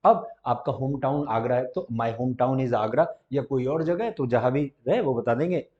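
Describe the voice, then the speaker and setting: An Indian man with a Hindi accent teaching spoken English. He explains in Hindi and says each model English sentence slowly and deliberately. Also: mid-range voice, dull audio. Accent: Indian, Hindi-speaking. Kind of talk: classroom-style spoken English lesson. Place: institute classroom or studio.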